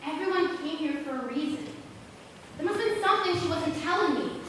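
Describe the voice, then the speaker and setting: A woman's voice: very high-pitched, lively intonation, a little fast, a bit bright. A young female student actor playing a lonely, wounded teenage girl in grief in a stage play. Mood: anguished. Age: teens to young adult. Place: school stage performance. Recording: echoey hall, distant mic, background noise.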